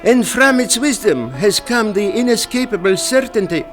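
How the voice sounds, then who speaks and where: An actor playing a solemn, grandiose cult guru, preaching in a measured, portentous, oratorical style for a radio drama. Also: male voice, sits high, expressive, fairly bright tone, faint music behind.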